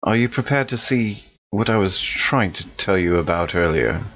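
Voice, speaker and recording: A man's voice: lowish voice, lively intonation, moderate pace, a bit bright, lo-fi sound. A man playing a tabletop role-playing game, speaking hesitantly with restarts. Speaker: player. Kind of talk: casual chat while playing a tabletop game.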